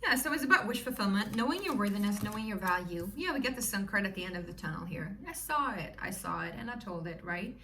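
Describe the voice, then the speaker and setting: A cheerful woman with a Swedish accent talks her viewers through a tarot spread in a loose, chatty way. Her voice is bright and clear. She sits a little back from the mic, with a faint hiss of room noise behind her. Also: medium pitch, lively intonation, fast talker, hardly any pauses, recorded from a distance. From Sweden. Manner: chatty, upbeat, lots of "you know" and "right?". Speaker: tarot reader. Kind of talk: casual tarot reading to viewers.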